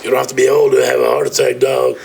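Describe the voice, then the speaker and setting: A man's voice: medium pitch, flat delivery, fast talker, gravelly. A middle-aged man co-hosting a casual podcast, rambling and repeating himself, often saying "dog". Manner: rambling, repeats words, says "dog" a lot. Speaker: podcast co-host, the uncle. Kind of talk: casual chat. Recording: noisy home recording.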